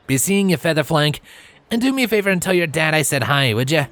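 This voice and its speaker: A man voicing a breezy young male pony in a storybook, a teasing buddy with a hurried manner. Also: on the high side, lively intonation, fairly bright tone, no room sound, pitched above the performer's own voice. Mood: cheeky. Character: cheeky, breezy young stallion, an old buddy.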